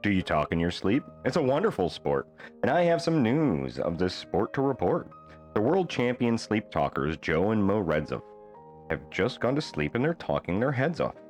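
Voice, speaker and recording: A man reading a rhyming children's bedtime book aloud as an expressive storyteller. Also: on the low side, moderate pace, soft background music.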